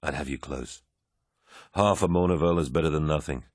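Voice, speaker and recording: A man voicing a furious, commanding warlord who roars and then growls low. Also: moderate pace, no room sound, clean studio sound. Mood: weary, gruff.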